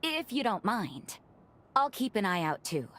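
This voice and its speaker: A woman voicing a gentle young vampire in a video game cutscene, speaking politely and thoughtfully with formal wording and soft teasing. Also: medium pitch, sing-song, measured pace. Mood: earnest, apologetic. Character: gentle revenant woman, a vampire who loves cooking.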